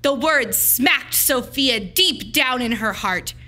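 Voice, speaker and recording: A woman teacher reading a children's storybook aloud with dramatic, deliberate, expressive phrasing. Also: sits high.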